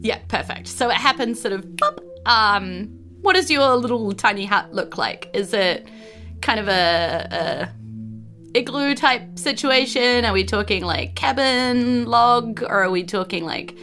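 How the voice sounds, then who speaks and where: A woman with a New Zealand accent leads a tabletop game. Her voice sits fairly high and has a clear forward brightness. She narrates informally and encourages the players in a playful way. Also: expressive, measured pace, faint music behind. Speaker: game master. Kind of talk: casual tabletop roleplay narration.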